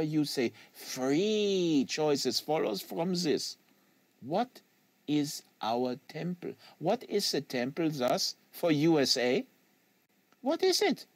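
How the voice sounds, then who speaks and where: A man's voice: a little high, dry recording. A man voicing an earnest, lecturing assassin for an audiobook, with solemn rhetorical delivery and foreign phrasing. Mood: probing, solemn. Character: earnest, lecturing French-Canadian male assassin in a wheelchair.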